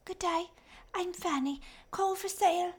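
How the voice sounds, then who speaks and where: A woman voicing a poor, tired little girl who works in a coal mine, speaking in thin, raspy, clipped replies. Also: very high voice, a little slow, slight background noise, pitched above the performer's own voice. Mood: weary.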